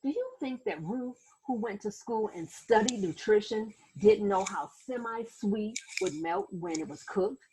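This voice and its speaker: A woman reading a children's story aloud like a teacher, expressive and dramatic, with asides to her listeners. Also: medium pitch, a little slow, few pauses, background noise. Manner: animated, dramatic, acts out sound words and exclamations.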